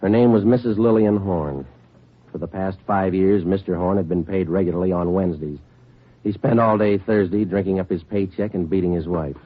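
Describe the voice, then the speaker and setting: A man's voice: low-pitched, moderate pace. An American man acting a terse, dogged police sergeant in a 1950s radio drama, clipped and matter-of-fact. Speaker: detective and narrator. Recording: muffled vintage radio recording, music behind.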